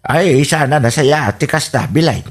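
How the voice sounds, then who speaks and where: A man playing a disapproving, nagging older father in an Ilocano radio comedy drama, husky, with an Ilocano accent. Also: fairly high pitch, lively intonation, moderate pace.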